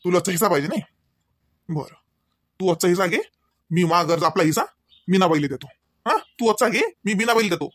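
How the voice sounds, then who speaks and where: A man's voice: high voice, a little fast, pauses often, a bit bright, no echo, clean studio sound. A man playing a proud, angry village husband in a comedy cartoon, scolding in a Varhadi Marathi accent. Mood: stern, defiant. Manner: scolding, firing off rhetorical questions. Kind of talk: amateur comedy cartoon dialogue.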